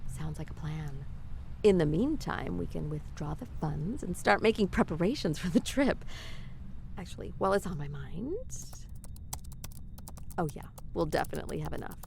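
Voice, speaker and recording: A woman's voice: low voice, lively intonation, moderate pace, gravelly, darkish tone, slight background noise. A woman acting as a determined, confident researcher and translator in an audio drama. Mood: upbeat, practical.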